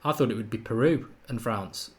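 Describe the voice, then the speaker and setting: A man's voice: fairly low pitch, normal speed, a little mellow. A man with a slight Irish accent hosting a live football watchalong, chatting and thinking aloud while he reads out viewer comments. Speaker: host of a live match watchalong.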